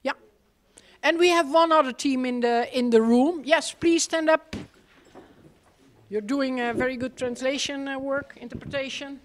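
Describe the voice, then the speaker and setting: Woman, high-pitched, event host, informal prompting, handheld mic, large room. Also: fairly even intonation, slight background noise. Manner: informal, prompting the audience with quick questions. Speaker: event host introducing team members. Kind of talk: live panel introductions. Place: large ornate room with seated audience. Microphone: handheld microphone.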